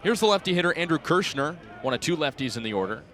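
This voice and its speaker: A man in his twenties calling baseball play-by-play for radio in crisp, clipped phrases that lift on the action. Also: on the high side, big pitch swings, quick pace, a bit bright. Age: 20s. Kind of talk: live radio sports commentary. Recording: outdoor ballpark crowd behind voice. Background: ballpark crowd.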